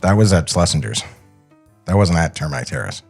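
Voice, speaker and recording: A man co-hosts a podcast with a very lively pitch range. His talk is casual banter, recorded in a studio over music. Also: normal speed, creaky, breathy edge. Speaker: podcast co-host.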